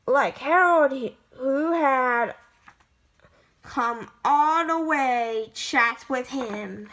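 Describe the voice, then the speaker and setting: A woman reading a children's picture book aloud in a halting way, stumbling over words as she goes. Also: sits high, sharp tone. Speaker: amateur story reader.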